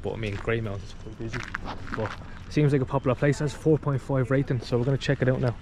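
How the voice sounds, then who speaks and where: A man's voice: mid-range voice, fast, a little mellow, slight background noise. A man, chatting his way through a casual travel vlog, recorded on an action camera in a car and outdoors. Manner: chatty running commentary, upbeat. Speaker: travel vlogger.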